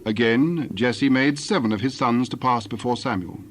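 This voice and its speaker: A man narrating a dramatized scripture reading in a measured, solemn, scripted manner. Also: medium pitch, a little hiss or hum.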